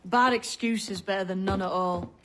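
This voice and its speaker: A woman's voice: mid-range voice, normal speed, fairly bright tone, slight background noise, close to an everyday voice. A woman playing a shrewd, guarded young pirate in disguise in a video game, plain-spoken and colloquial. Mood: wry, challenging. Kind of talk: dramatic video game acting.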